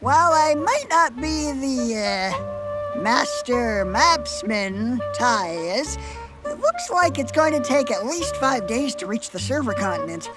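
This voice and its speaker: A woman's voice: high voice, big pitch swings, takes it slowly, music in the background, heavily acted, low-quality recording. A woman voicing a sceptical, analytical child adventurer in a cartoon parody, articulate and matter-of-fact with an exasperated edge. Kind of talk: comedic animated parody voice acting.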